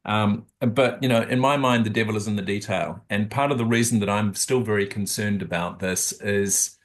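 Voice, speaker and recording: A man in his fifties giving a measured, earnest briefing on a video call. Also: fairly low pitch. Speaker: charity sector commentator. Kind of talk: webinar briefing.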